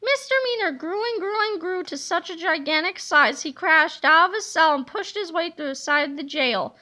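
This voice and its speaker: A woman reading a children's chapter book aloud with lively, expressive narration. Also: extremely high pitch, moderate pace. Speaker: reader.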